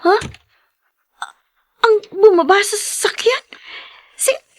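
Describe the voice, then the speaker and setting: A woman's voice: very high voice, takes it slowly. A woman playing a sweet, devoted young daughter in a Filipino radio drama, with a Filipino accent. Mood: tearful joy.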